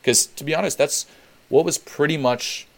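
A man's voice: on the high side, normal speed, gravelly. A young man from Switzerland hosting a webinar Q&A, talking candidly and swearing freely as he repeats points for emphasis. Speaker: webinar host. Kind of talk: casual Q&A talk.

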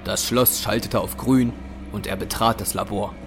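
A man's voice: on the low side, fairly even intonation, moderate pace, music in the background. A man giving dramatic scripted narration for an audio drama.

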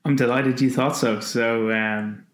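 A man hosts an interview podcast in a casual, halting way, with many ums and restarts. His voice sits in a middle pitch range. The recording has a roomy echo. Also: fairly even intonation. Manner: hesitant, many fillers. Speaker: podcast host.

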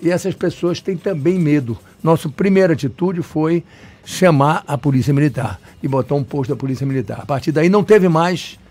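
A man's voice: a little high, fairly quick, darkish tone. A man from Salvador with a Bahian accent, an urban planner giving long, emphatic, digressive answers in an interview. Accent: Bahian Brazilian Portuguese. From Salvador, Bahia, Brazil. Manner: talkative, emphatic, digressive with restarts.

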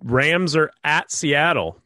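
A man's voice: a little high, lively intonation, slow pace, sharp tone, no echo. A stuffed-up man hosting a casual sports podcast, rambling with lots of fillers. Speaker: podcast host.

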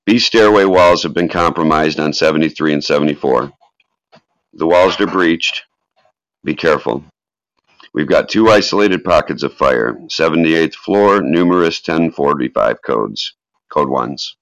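A man's voice: extremely low pitch, lively intonation, unhurried, fairly bright tone, dry recording, highs cut off, exaggerated voice. A man voicing a focused fire chief who reports tersely and urgently, in a dramatic reading.

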